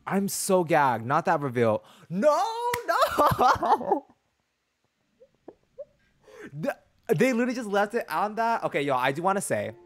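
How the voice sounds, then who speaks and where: A man's voice: high-pitched, wildly swooping pitch, a little slow, long pauses, a bit bright, no echo. A man in his twenties live-streaming a game, reading text aloud and reacting theatrically with sassy slang. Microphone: close streaming mic, seen on webcam.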